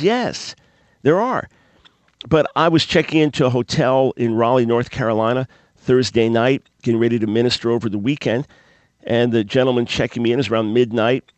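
Middle-aged male talk radio host, fervent preacherly delivery, voice jumps high on key points, dry close sound. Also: no room sound. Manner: passionate, preacherly, pitch leaping up on emphasis. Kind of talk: call-in radio commentary.

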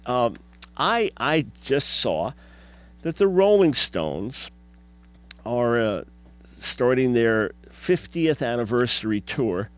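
A man's voice: mid-range voice, sing-song, takes it slowly. A man in his fifties hosting a radio talk show, speaking emphatically and asking rhetorical questions. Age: 50s.

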